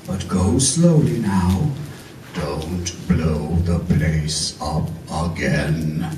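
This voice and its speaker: An actor playing a gentle, cautious older inventor father in a kindly, theatrical old-time radio style. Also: low voice, extremely slow. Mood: cautious, concerned. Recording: echoey room, distant mic, audience noise.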